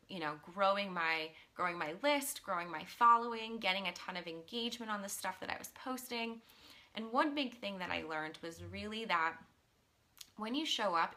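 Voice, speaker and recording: A woman in her thirties, a coach, talking casually in a live stream, earnest and chatty with many ums, and a little hoarse from losing her voice. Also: medium pitch, sharp tone. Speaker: mindset and business coach. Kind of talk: casual live-stream talk, reading viewer comments.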